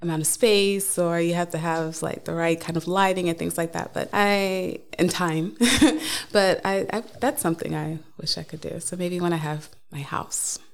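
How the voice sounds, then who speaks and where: A woman speaks as a podcast guest, her fairly low voice carrying a gravelly fry. She answers thoughtfully, pausing on uh and breaking into easy laughs. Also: steady tone. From United States. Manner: thoughtful, laughs often, hesitant uh fillers. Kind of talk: casual interview answers. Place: studio.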